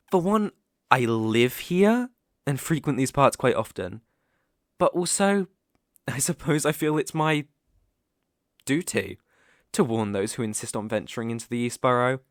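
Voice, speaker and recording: A man acts out a sinister British werewolf luring a stranger in an ASMR roleplay. He speaks slowly and teasingly, with theatrical menace that can sink toward a whisper. The sound is close and dry. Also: medium pitch, animated, a bit bright, no echo. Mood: sly reassurance. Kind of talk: ASMR roleplay audio acting.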